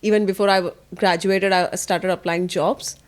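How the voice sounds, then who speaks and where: A woman's voice: mid-range voice, steady tone, normal speed. A young woman co-hosting a casual podcast in an informal, candid and teasing way. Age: young adult. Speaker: podcast co-host.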